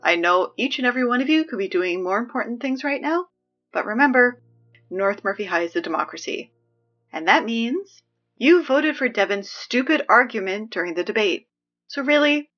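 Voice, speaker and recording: Music plays under slightly muffled audio as a woman acts an exasperated high school announcer in a comedy audio drama. Her voice sits fairly high, and she is dryly officious and sarcastic, slipping into wry asides. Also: fairly bright tone.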